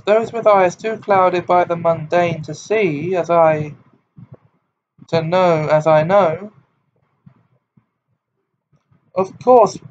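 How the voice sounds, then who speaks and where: A man voicing a hysterical, quavering witch teacher. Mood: dramatic, mystical. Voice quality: high-pitched, hysterical, quavering. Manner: theatrical, wounded self-pity. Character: overwrought eccentric middle-aged female divination teacher. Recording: dull lo-fi with faint hiss.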